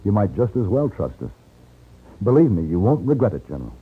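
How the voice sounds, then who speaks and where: Low, animated cool American gunslinger hero, shrewd, wry, persuasive, 1950s-style radio western on a tinny recording. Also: male voice, fairly quick, slightly rough, exaggerated voice. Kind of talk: old-time radio western acting and narration.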